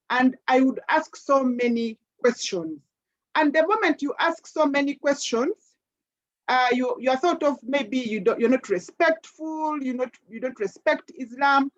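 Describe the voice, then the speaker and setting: A Ugandan woman with a somewhat high voice talks earnestly in a webinar, circling back over repeated words as she thinks. Her audio comes through a dull, lo-fi video call. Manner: earnest, repeats words while searching for the next one. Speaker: panelist. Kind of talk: webinar discussion, personal account.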